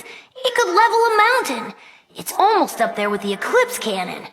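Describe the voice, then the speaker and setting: A woman's voice: high-pitched, a bit bright, heavily acted. A woman voicing an earnest, brainy young fox boy sidekick in a video game, with a youthful, articulate way of talking. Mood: excited, amazed. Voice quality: youthful, boyish. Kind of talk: video game character voice lines.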